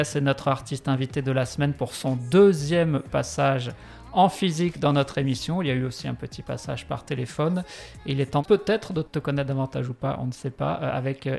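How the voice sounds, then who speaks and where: A man's voice: medium pitch, a little fast, gravelly, a little mellow, faint music behind. A man hosting a radio show, chatty and jokey, interviewing the guest of the week. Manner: chatty, jokey, repeats words. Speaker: radio host.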